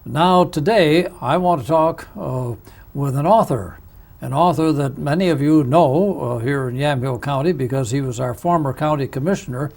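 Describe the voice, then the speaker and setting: High-voiced male TV host. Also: moderate pace, gravelly, darkish tone. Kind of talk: hosting a public access TV interview show.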